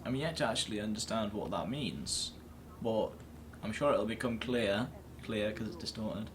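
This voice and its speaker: A man's voice: on the low side, normal speed, noisy background. A man giving casual let's play commentary, musing aloud and reacting as he plays. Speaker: gaming video host.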